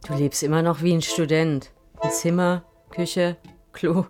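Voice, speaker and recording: An actress playing an excitable, free-spirited, flirtatious woman in a naturalistic radio drama, breezy and teasing. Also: deep voice, a little slow, over music.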